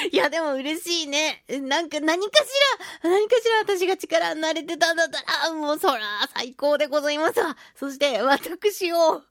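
High-pitched, lively woman radio host. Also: moderate pace, no room sound. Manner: exclaiming, animated reactions with playful phrasing. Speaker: radio show host, voice actress. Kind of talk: chatty solo radio talk, reading listener mail.

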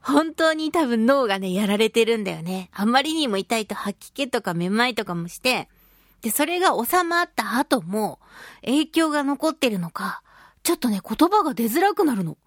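Female radio host, very high voice, lively swings, playful solo chat, dry close studio sound. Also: moderate pace, dry recording. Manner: playful, animated, acting out sound effects. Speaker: voice actor hosting her own radio talk show.

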